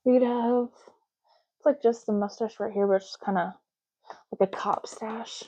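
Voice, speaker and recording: A soft-spoken woman hosting an ASMR roleplay, talking in an unhurried, rambling way as if privately to the listener. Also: a little high, steady tone, dull audio.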